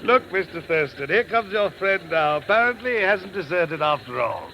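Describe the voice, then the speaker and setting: A man playing a polite, trusting gentleman with formal, precise speech in a vintage radio drama. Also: high-pitched, big pitch swings, moderate pace, creaky, heavily acted. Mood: composed. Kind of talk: 1940s-50s radio drama acting. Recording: muffled, hissy vintage radio recording.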